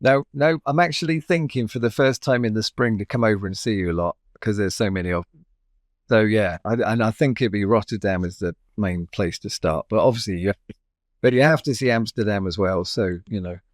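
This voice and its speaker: A man's voice: lowish voice, fairly quick, dry recording, clean studio sound. A man hosting an interview, asking casual questions in a friendly way. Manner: friendly, says brilliant and bonkers. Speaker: podcast host.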